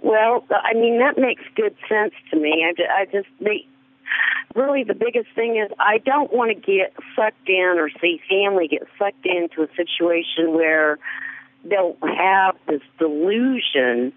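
A man calls in to a radio show over a tinny phone line. His voice sits high, and he speaks slowly and haltingly, restarting sentences as he searches for his words. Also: expressive, no echo. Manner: hesitant, halting. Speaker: caller. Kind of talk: call-in radio caller.

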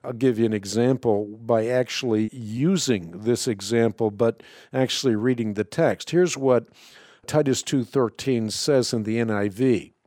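Mellow-voiced Dutch-born radio host. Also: male voice, medium pitch, measured pace, dry recording, clean studio sound. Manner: authoritative, explanatory, emphatic. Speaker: radio show host answering callers. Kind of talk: call-in radio Bible answers.

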